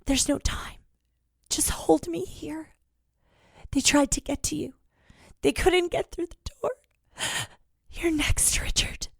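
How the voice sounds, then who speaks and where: A woman's voice: high voice, fairly even intonation, slow, vocal fry, long pauses, no room sound, heavily acted. A woman playing a secretive hunted female spy, close and dry. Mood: dying, gasping. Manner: earnest, dramatic, confiding. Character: hunted female secret agent, urgent, secretive and world-weary. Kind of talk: audio play acting.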